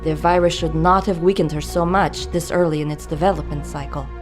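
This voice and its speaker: A woman's voice: low-pitched, fairly even intonation, fairly quick, soft background music, natural voice. A woman voicing a cold, clinical female scientist in a game cutscene, speaking with precise detachment. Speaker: scientist doctor.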